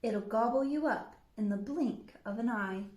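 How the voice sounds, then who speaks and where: A woman's voice: a little high, normal speed, a little mellow, reverberant, lightly acted. A woman voicing a plucky, confident fairy-tale girl in a children's storybook. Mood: confident warning. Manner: confident, nonchalant, bold. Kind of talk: children's storybook read-aloud.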